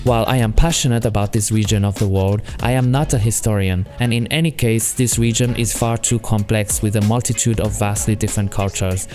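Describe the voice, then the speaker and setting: A man with a French accent speaks in a fairly low, mellow voice, walking through his work in a calm, explanatory way. It is a scripted voice-over for a development log. Soft music plays faintly behind him. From France. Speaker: solo game developer. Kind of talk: scripted devlog narration.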